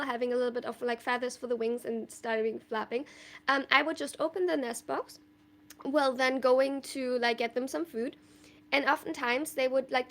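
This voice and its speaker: High-voiced German woman chatting on a live stream. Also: a bit bright. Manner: chatty and thoughtful, many fillers and restarts. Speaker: livestreamer. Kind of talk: casual live-stream chat answering viewer questions.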